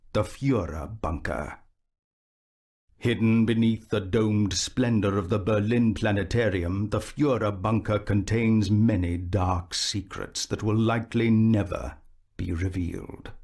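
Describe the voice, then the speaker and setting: A grim video game announcer who briefs the player on character dossiers and missions. His voice sits a little low, and he takes each line at an unhurried, heavy pace. The tone stays grave and ominous throughout. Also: darkish tone. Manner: slow, grave, ominous delivery. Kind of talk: scripted game narration.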